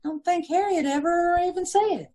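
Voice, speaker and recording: A woman's voice: extremely high pitch, moderate pace, dark tone. A woman playing a gossipy, aggrieved middle-aged landlady and mother of five, talking folksy and ungrammatical in old-time radio style. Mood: grudging. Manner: folksy ungrammatical talk, indignant and self-pitying. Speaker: witness in radio police drama.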